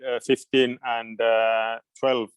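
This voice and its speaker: A man's voice: medium pitch, level tone, slow pace, clean tone, no room sound. A middle-aged man from Finland, a native Finnish speaker, answering questions informally and hesitantly as he searches for words. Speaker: guest education expert.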